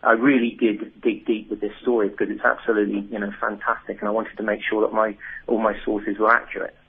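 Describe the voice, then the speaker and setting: A man's voice: lowish voice, level tone, fast talker, breathy edge. An English man, an author, talking enthusiastically and at length about his research in a phone interview, with frequent restarts. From England. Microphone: telephone. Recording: tinny phone line.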